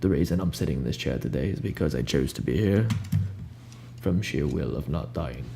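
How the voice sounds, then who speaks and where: A young man improvising a soft-spoken ASMR roleplay as a cool, ominous recruiter, talking in a casual, blunt, unhurried way over hiss and hum. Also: rumbling low, animated, vocal fry. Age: young adult. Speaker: ASMR roleplayer playing a job interviewer. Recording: audible background hiss and hum.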